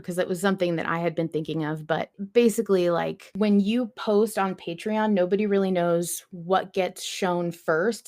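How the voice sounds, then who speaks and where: Lower-pitched woman chatting on a podcast. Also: a little mellow. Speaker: podcast host. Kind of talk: casual podcast chat.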